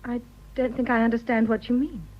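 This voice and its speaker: An actress playing a sympathetic, composed young wife in a British radio drama, polite and direct in manner. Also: female voice, on the high side, little pitch movement, fairly quick, dull audio, natural voice. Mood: puzzled, shocked.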